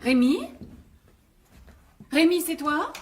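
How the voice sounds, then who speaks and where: An actress playing an adoring, ambitious wife who longs for the stage in a French radio drama, breathless and exclaiming. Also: female voice, high-pitched, slow, over loud music. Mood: tender.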